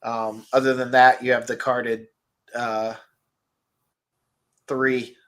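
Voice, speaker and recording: A man hosting a relaxed stream, chatting and reading viewer comments, his voice nasal and stuffed up. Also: mid-range voice, slow pace. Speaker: host. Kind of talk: casual livestream chat about toys.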